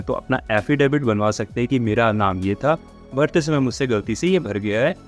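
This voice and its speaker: A man hosts an informal explainer for exam candidates, mixing in English words as he talks. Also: lowish voice. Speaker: host of a job updates channel.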